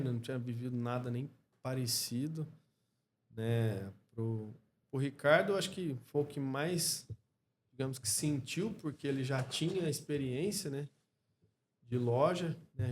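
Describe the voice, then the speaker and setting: A Brazilian man speaking as a podcast guest in a casual interview, reflective and halting, often checking in with short tag questions. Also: mid-range voice, a little mellow, slight background noise. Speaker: podcast guest, clothing store co-founder.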